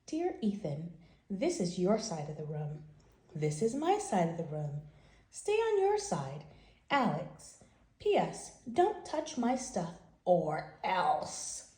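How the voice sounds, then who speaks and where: An indignant little boy played by a woman reader airs his grievances in a storybook letter. The delivery is dramatic and mock-formal, swooping high on key words, with a mellow tone in a roomy space. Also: wildly swooping pitch, takes it slowly, heavily acted. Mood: bossy. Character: indignant young boy writing complaint letters to his dad.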